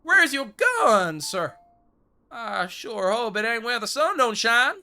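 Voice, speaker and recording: A man's voice: medium pitch, animated, measured pace, pushed and bright. A man playing a fussy frontier gun shop owner in gameplay commentary, with a put-on American Old West accent and wordy, mock-formal courtesy. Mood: indignant, blustering. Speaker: gaming video creator.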